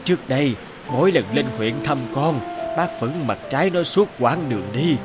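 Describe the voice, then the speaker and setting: A man playing a kind, devoted elderly countryman father in a cartoon, speaking gently and earnestly. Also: mid-range voice, animated, normal speed, lightly acted. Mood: humble, calm. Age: older. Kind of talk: animated cartoon dialogue. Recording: music behind the voice.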